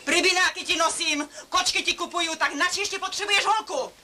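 A woman's voice: very high voice, sharp tone, slight background noise, full character voice. An actress playing a middle-aged mother, scolding and nagging her son. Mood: indignant lecturing.

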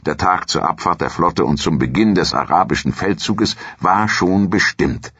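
A man with an extremely low, husky voice narrates history in a measured, formal way. His scripted delivery suits an audio documentary, and the sound is dry and slightly dull. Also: a bit bright, no echo.

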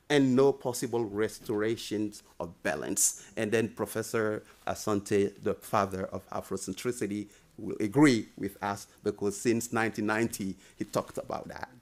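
Man giving a low-voiced lecture. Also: a little mellow. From Mali. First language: French. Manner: earnest, many "and then" links, rises in emphasis. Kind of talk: academic lecture. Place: lecture room, indoors. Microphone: desk microphone.

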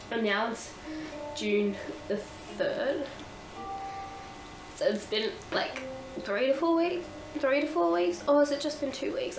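A woman's voice: on the high side, echoey room, rough recording. Sick teen girl, blocked nose. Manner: rambling, gushing, self-correcting. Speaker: vlogger. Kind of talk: casual vlog chat. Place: bedroom, indoors. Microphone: camera in front of her.

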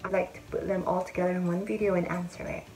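A woman's voice: on the low side, flat delivery, fast, a little mellow, faint music behind, poor audio. A young woman talking to camera in a chatty, friendly way, giving step-by-step advice in a casual vlog. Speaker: vlogger. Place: indoor room with a bead-curtain backdrop.

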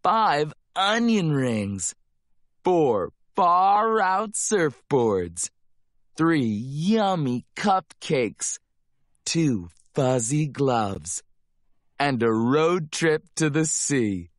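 A male narrator reads a children's rhyming picture book at a very slow pace. His delivery is playful and drawn out, with lively swings in pitch. The recording is close and dry. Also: dry recording. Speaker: storybook narrator.

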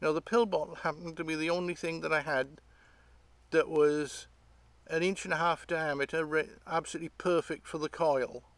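British guy in middle age chatting about his hobby gear, voice a bit high, dry sound outside, kinda rambly. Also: fairly even intonation, normal speed, no echo. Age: middle-aged. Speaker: host of an electronics hobby channel. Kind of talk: casual unscripted show-and-tell. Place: outdoors by leafy trees.

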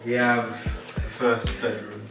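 A man's voice: medium pitch, monotone, slow, over music. A young man giving a casual, teasing tour of his new house with his partner, often finishing her sentences. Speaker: vlogger. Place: empty new house, bare rooms indoors. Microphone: camera held by partner, a few steps off. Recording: echoey empty rooms, mic at a distance.

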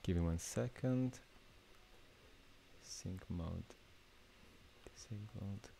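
A man's voice: sits low, very slow, vocal fry, dark tone, slight background noise. A man in his twenties with an Italian accent, hosting a casual livestream workshop in an informal, hesitant way, often thinking aloud. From Italy. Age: 20s. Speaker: workshop host, new media artist. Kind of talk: casual livestream masterclass, chatting with viewers. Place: small indoor room.

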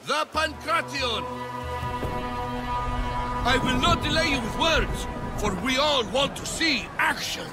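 Very high, shouted ceremonial announcer. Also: male voice, slow, long pauses, over loud music. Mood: proud, rousing. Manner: declamatory, projecting. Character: proud, grand male ceremonial announcer at ancient games. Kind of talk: video game cutscene acting, public announcement.

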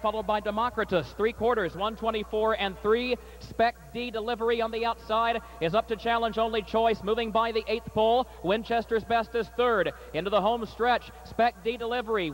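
A man announcing and calling a harness race at a state fair, his voice climbing and getting loud down the stretch, then interviewing a trainer. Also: extremely high pitch, rough recording. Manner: rapid, rising to shouts at the finish. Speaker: track announcer. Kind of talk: harness race call and winner's circle interview.

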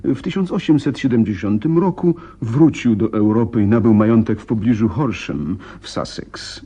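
An actor playing a polite, troubled gentleman client in a radio drama, speaking in a husky, hesitant, earnest way. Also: on the low side, expressive, normal speed. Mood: earnest recounting.

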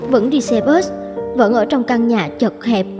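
A woman's voice: on the high side, a little slow, over music, lightly acted. A woman voicing a dissatisfied, nagging young wife in a cartoon drama, with a reproachful way of talking. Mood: wistful. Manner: complaining, reproachful.

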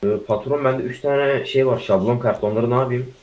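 A man's voice: medium pitch, fairly even intonation, normal speed, darkish tone, poor audio. A man in casual game roleplay chat, speaking as a crew member deferring to his boss.

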